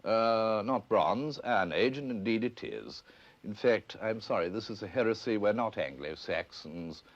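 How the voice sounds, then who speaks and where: A middle-aged English man, a scholar being interviewed, explaining in a halting, hesitant but precise manner. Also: fairly low pitch, no room sound. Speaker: academic anthropologist being interviewed. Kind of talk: unscripted expert explanation. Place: stone church crypt. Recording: dull, band-limited archive film sound.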